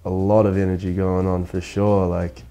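A man's voice: low-pitched, level tone, normal speed, dark tone, soft background music. A young man from New Zealand talking in a laid-back way, dropping lots of likes and you-knows, on a lapel mic. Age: young adult. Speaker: pro skateboarder. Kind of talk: casual interview answers.

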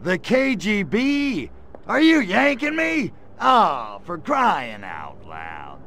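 Brash male alien invader, gravelly voice, slow drawl, big swooping pitch, wisecracking and flirty, game cutscene. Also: huge pitch swings, fairly bright tone, full character voice. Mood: incredulous. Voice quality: gravelly, slangy wisecracking delivery. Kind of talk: video game cutscene acting.

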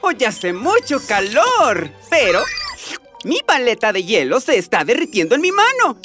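A woman's voice: a little high, measured pace. A woman voicing a curious, upbeat boyish adventurer in a children's educational cartoon dub. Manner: excited, exclamatory, inquisitive. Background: music.

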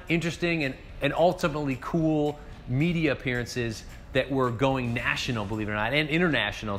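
A man's voice: medium pitch, a bit bright. A man in his forties from northeast Ohio, a restaurant owner giving an upbeat, casual year recap straight to camera from his empty bar, gesturing as he talks. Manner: upbeat, gestures with hands while talking. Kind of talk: casual direct-to-camera talk. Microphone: seated facing a mid-distance camera.

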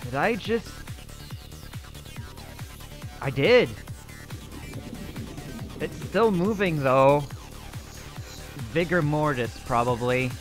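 A man's voice: on the high side, slow, pauses often. A man roleplaying a character over gameplay, thinking aloud to himself as he goes. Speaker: roleplaying gamer. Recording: echoey reverberant room with faint music.

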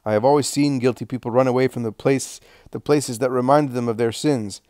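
A man reading aloud, voicing a scornful Roman soldier who taunts loudly in a raised voice. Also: mid-range voice, fairly even intonation, brisk, no echo, studio quality, lightly acted. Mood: mocking. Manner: mocking, taunting. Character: scornful male Roman soldier, loud and jeering.

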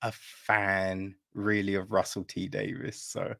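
An older man chatting casually as the interviewer in a relaxed, informal conversation. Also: sits low, a little slow, gravelly. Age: older, 50s or 60s. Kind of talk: casual chat. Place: room at home, video call.

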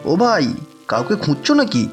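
A man voicing a helpful, excitable village milkman in a cartoon, eager and familiar. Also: on the high side, a little fast, faint music behind. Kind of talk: Bengali cartoon voice acting.

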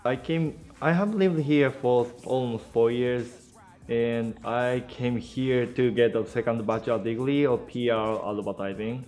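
A young man from Tokyo speaking English with a Japanese accent in an interview, careful and halting. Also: mid-range voice, fairly even intonation, a little mellow, distant mic, soft background music. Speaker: student.

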